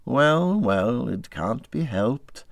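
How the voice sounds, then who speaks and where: A man's voice: mid-range voice, sing-song, extremely slow, vocal fry, dry recording, studio quality, heavily acted. A man voicing a kindly, practical water rat in a storybook reading, with a considered and reassuring manner. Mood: resigned, pondering. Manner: considered, reassuring, matter-of-fact. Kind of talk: storybook character voice in audiobook reading.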